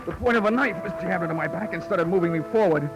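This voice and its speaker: A man's voice: sits high, quick pace, close to an everyday voice, low-quality recording. Man playing a wry old-time radio detective. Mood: wry, tense narration. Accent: American. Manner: dry, deadpan, wisecracking. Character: wry, hard-boiled male insurance investigator narrating his case. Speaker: lead actor and narrator. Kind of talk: 1940s radio detective drama narration. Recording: old 1940s radio recording, music behind.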